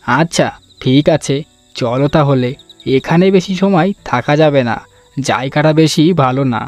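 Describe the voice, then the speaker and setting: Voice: mid-range voice, moderate pace, darkish tone, no echo, faint music behind, natural voice. An actor voicing a kindly, cautious village man in a cartoon, with a concerned, hesitant manner. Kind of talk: Bengali children's cartoon voice acting.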